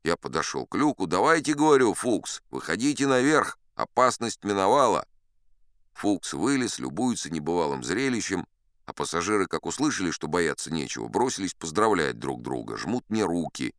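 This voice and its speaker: Male actor as an old braggart sea captain, smooth deep voice, dry close studio sound, chatty tall-tale teller. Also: very animated, moderate pace, a bit bright, no room sound, heavily acted. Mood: boastful, confiding. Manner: chatty, confiding asides to the listener. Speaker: audiobook narrator. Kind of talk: first-person storytelling in an audio play.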